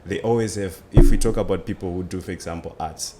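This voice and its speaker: A man's voice: low voice, fast talker, very noisy. A man in his twenties with a Zimbabwean accent, telling his story conversationally as an interview guest. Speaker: interview guest, student entrepreneur.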